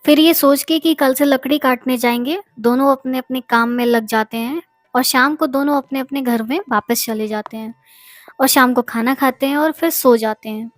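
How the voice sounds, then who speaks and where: A woman's voice: sits high, steady tone, moderate pace, no room sound, clean studio sound. A woman narrating a scripted story as a storyteller. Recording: music behind the voice.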